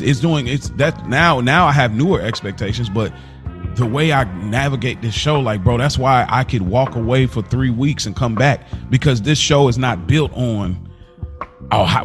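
A man's voice: medium pitch, moderate pace, faint music behind. A man co-hosting a podcast in casual, slangy conversation, often repeating and restating his points. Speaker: podcast co-host.

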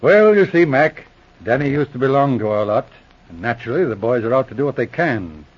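Shrewd Mountie sergeant, middle-pitched, commanding and dry, 1940s radio drama, dull vintage sound. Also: male voice, big pitch swings, a little fast. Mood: dryly confident. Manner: probing, commanding, dryly teasing. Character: shrewd, self-assured Mountie sergeant, loyal and patient. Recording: muffled vintage radio recording.

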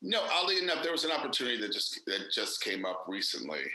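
A man's voice: medium pitch, big pitch swings, fast, sharp tone, roomy sound, rough recording. A man, an actor and director, speaking candidly and emphatically in a casual interview, with many fillers and restarts.

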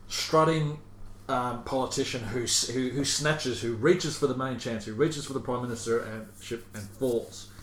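A man hosting a casual chat podcast, rambling and hesitant as he talks. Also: medium pitch. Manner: rambling, hesitant, chuckles while reading aloud. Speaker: podcast host. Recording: echoey room with faint hiss.

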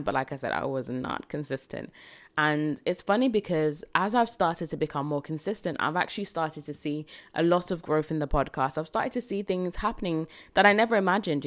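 A woman in her twenties, raised in Britain after a childhood in Jamaica, hosting a solo podcast Q&A in a chatty, reflective way with rambling asides. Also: deep voice. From Jamaica, raised in the UK from age seven. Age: 20s. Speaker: podcast host. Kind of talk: solo podcast Q&A, casual chat.

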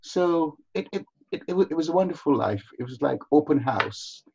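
Older man, husky creaky voice, emphatic storyteller, interview guest, muffled recording. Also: fairly high pitch, animated, moderate pace, darkish tone. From Barbados. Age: older, over 60. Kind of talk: reminiscing in conversation.

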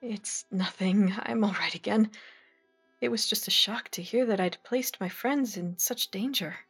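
An actress playing a gentle, polite young Victorian lady in a period mystery audio drama, with a breathy voice. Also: medium pitch, a little slow, soft background music. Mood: shaken. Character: genteel young Victorian lady, composed and tender.

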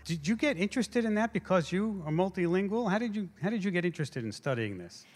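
A man's voice: high voice, sing-song, quick pace. A man interviewing a guest on a theater stage before a live audience, asking casual questions with restarts. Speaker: interviewer, show host. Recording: reverberant theater hall.

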